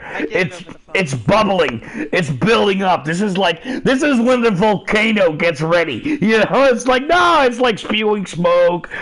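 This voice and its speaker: A man's voice: high voice, a bit bright. A middle-aged man chatting casually while gaming, profane and rambling, laughing often. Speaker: streamer.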